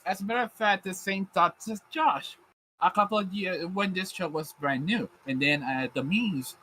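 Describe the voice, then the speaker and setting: A man's voice: high-pitched, moderate pace, bright, forceful tone, slight background noise, poor audio. A man with a Puerto Rican accent, co-hosting a casual podcast chat, rambling with frequent restarts and casual swearing. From Puerto Rico. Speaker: podcast co-host.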